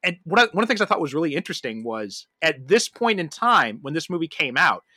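A man's voice: fairly high pitch, very animated, fast talker, sharp tone, dry recording, studio quality. A man in a casual film discussion, talking loosely and circling back to rephrase. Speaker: podcast speaker discussing a film.

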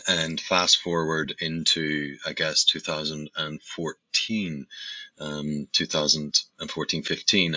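A middle-aged man with a Northern Irish accent talks as a podcast guest. His voice is very deep and bright, with a sharp, forward edge. He stops often on fillers and starts his sentences over, recorded dry and close with no room sound. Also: dry recording, dull audio. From Northern Ireland. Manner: hesitant, many fillers and restarts. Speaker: guest, company founder. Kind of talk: podcast interview.

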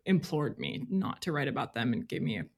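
A woman's voice: a bass voice, sing-song, brisk, creaky, darkish tone, breathy edge. Woman thinking aloud in conversation. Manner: informal, thinks aloud, hedging with "like" and "you know". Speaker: podcast guest, freelance journalist. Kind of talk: reflective interview conversation.

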